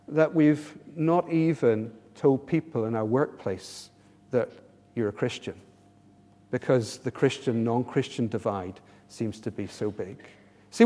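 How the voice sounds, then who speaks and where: High-voiced English preacher in a reverberant hall. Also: male voice, dark tone. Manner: earnest, rhetorical questions, repeats words when starting phrases. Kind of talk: sermon.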